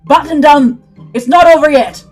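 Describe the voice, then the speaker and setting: A woman's voice: sits high, normal speed, soft background music, lightly acted. A woman voicing a commanding, ominous man aboard a pirate ship in a storybook, with a formal, imperious manner. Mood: roaring, commanding.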